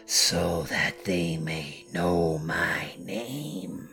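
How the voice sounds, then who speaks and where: A deep buzzy hissing insect monster. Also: male voice, sharp tone, soft background music, heavily acted. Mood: whispering. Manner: slow, cold and bargaining. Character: monstrous earwig creature with a buried face. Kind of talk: horror story narration.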